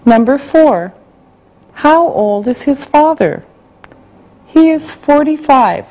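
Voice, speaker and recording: A woman reading a language-class listening exercise, spelling out words and dictating sentences slowly and carefully. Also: high voice, animated, darkish tone, poor audio. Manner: slow, carefully spelled out, evenly paced. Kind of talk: slow scripted dictation.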